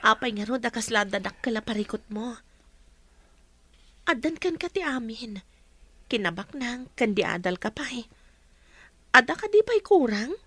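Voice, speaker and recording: Hesitant young mom in a radio play, bright voice, very dry recording. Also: female voice, a little high, big pitch swings, a little slow, long pauses, dry recording, full character voice. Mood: questioning. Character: hesitant, proud young single mother. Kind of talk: audio drama acting.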